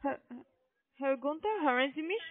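Woman, high voice, foreign accent, non-native halting phrasing, call centre agent, insistent, narrowband phone sound. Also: expressive, no echo. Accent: foreign accent in German. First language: not German. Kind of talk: scripted cold-call sales pitch over the phone. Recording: tinny narrowband phone line.

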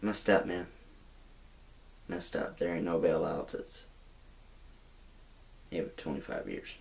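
A man's voice: deep voice, steady tone, slow pace, gravelly, a little mellow, heavily acted. A young man plays a glum young jailbird in a mockumentary comedy, rambling with hesitant restarts, recorded by a camera in a small bedroom. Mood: resigned. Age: young adult.

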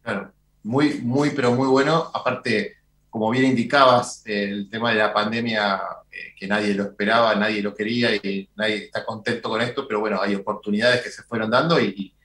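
A man's voice: lowish voice, fairly even intonation, normal speed, fairly bright tone. A middle-aged man with an Argentine accent hosts an interview over a video call, wearing headphones. He asks long, winding questions, repeating words as he restarts. His voice sounds roomy and echoey. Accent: Rioplatense Spanish. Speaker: TV show host. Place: indoor studio with branded backdrop.

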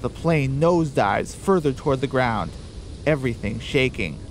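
A man narrating a scripted radio drama in a deliberate storytelling delivery. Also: mid-range voice, lively intonation, slight background noise.

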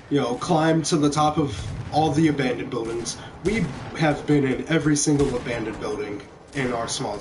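A man's voice: mid-range voice, a little mellow, a little hiss or hum. A young man from Kentucky with an American accent, telling rambling casual stories while he streams a video game. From small town in Kentucky, USA. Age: young adult. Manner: rambling, conversational. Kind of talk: casual storytelling over gameplay. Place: indoor room at home. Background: background music.

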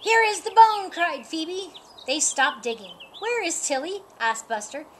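A woman's voice: very high voice, slight background noise. A middle-aged woman reading a children's picture book aloud outdoors, raising her voice for the animal characters. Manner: slow, lively, lifts her voice for animal lines. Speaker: storyteller. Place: outdoors in a sunny yard. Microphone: camera a few feet away.

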